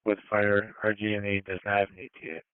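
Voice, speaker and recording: A male police dispatcher delivers procedural radio calls in a flat, even tone. His clear, clipped speech comes dry through a narrow, phone-like scanner band. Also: lowish voice, quick pace, dry recording. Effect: two-way radio.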